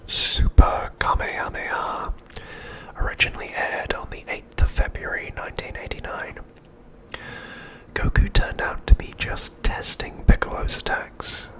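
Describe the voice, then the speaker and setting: A man speaks entirely in a breathy whisper, with almost no voiced tone at all. He narrates in ASMR style, reading a prepared recap in a hushed and measured way. The delivery stays soft and steady, like quiet storytelling. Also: low-quality recording. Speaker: ASMR host.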